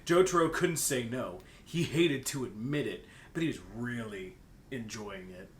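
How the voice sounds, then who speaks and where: A man's voice: mid-range voice, measured pace. A man co-hosting a comic fan fiction reading, moving between reading aloud and joking casual banter. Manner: animated, joking, often breaking into laughter. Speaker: co-host and reader. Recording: roomy sound with faint noise.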